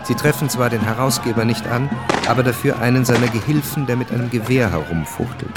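An actor playing the sober narrator of a historical radio drama, reading scripted present-tense narration. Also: male voice, mid-range voice, brisk, close to an everyday voice. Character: sober male chronicler of historical events. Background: music.

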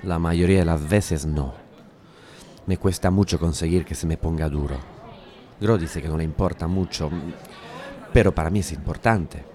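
A man's voice: deep voice, moderate pace, long pauses, dark tone, natural voice. An actor from playing an unsure, curious man who tentatively confides private worries to a friend. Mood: confiding. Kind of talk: Spanish audio fiction dialogue.

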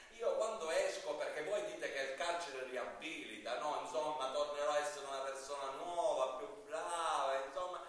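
Actor as a sly, sharp-voiced jailed mafia killer, echoey. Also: male voice, fairly high pitch, close to an everyday voice. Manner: mocking, insinuating, swinging from hushed murmurs to loud outbursts. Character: jailed mafia killer, sly, mocking, menacing, wheedling a judge. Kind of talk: theatrical stage monologue. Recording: very echoey, distant, hissy live stage recording.

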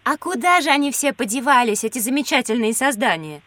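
A woman voicing an inquisitive young schoolgirl, expressive and curious, in a children's educational audio drama. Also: fairly high pitch, a little fast, dry recording. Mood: curious, concerned.

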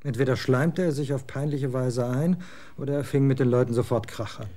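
A man's voice: medium pitch, lightly acted. An actor playing a scornful young man of twenty in a radio drama, talking in blunt slang. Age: 20s. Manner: slangy, scornful, quick to get excited. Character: young man, 20, moody rocker son, scornful. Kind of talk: German radio drama acting.

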